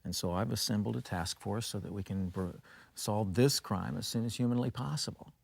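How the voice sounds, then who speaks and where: An actor playing a solemn, self-important American senator in a video game, speaking in formal oratory. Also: male voice, lowish voice, a little fast, creaky, breathy edge. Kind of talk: political press statement.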